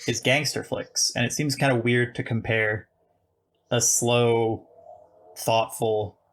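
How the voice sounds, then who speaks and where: A man's voice: medium pitch, steady tone, takes it slowly. A man hosting a podcast, talking casually about films in a rambling, chatty way full of restarts. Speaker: podcast host. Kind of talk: casual film discussion.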